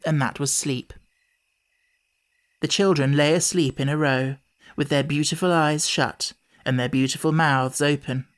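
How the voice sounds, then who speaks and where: A woman's voice: low-pitched, fairly even intonation, measured pace. A woman reading a scripted children's story as an audiobook narrator.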